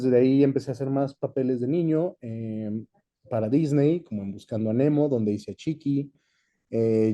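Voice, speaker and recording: Young man, Mexican accent, very soft dark tone, mid-range pitch, chatty, easygoing interview answers. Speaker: guest, voice actor. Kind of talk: casual interview, reminiscing.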